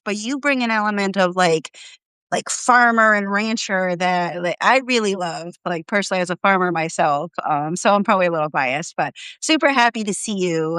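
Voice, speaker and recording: A woman's voice: medium pitch, a bit bright, clean tone, dry recording. A woman farmer hosting a casual interview, friendly, with many fillers like "um" and "like". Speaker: host, farmer. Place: home, live video call. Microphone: video call microphone.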